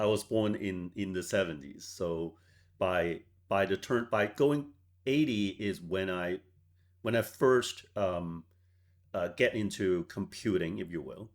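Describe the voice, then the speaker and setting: A man in his forties who grew up in Hong Kong, a software founder telling stories in a measured way as an interview guest. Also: low-pitched. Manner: measured, tags points with "right?". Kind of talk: reflective storytelling interview.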